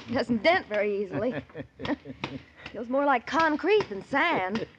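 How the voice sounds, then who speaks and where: An actress playing a spirited, wisecracking secretary with an American accent, wry and theatrical in 1940s radio drama style. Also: female voice, a little high, lively intonation, slow pace. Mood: brisk, dry. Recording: muffled, noisy 1940s radio recording.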